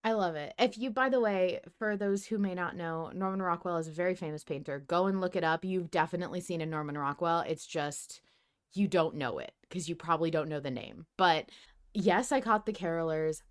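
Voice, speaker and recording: A woman with a slightly low-pitched voice talks as a podcast host. She is casual and emphatic, in the loose style of fan discussion, and swears freely. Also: fairly quick, clean tone.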